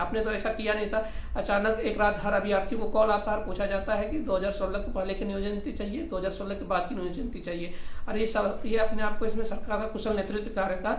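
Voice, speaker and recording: A man's voice: high voice, a bit bright. A man gives informal political news commentary, explaining in a rambling, repetitive way. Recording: roomy echo, faint background noise.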